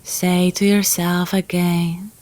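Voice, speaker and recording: A woman guiding a relaxation meditation in a calm, gentle, soothing manner, leaving long pauses between phrases. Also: fairly low pitch. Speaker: yoga nidra guide.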